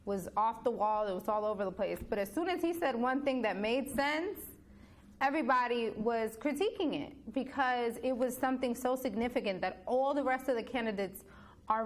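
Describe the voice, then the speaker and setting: A young woman, a student news correspondent, earnestly building her points in a casual panel discussion, often repeating words as she goes. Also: a little high. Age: young adult.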